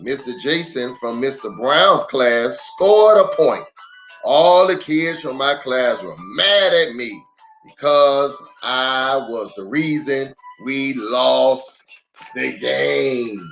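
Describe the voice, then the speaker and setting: Slow, animated male storyteller in echoey room. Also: mid-range voice, highs cut off. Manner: dramatic, deliberate pauses. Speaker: storyteller, pastor. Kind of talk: expressive children's story read-aloud. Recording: roomy echo, faint music.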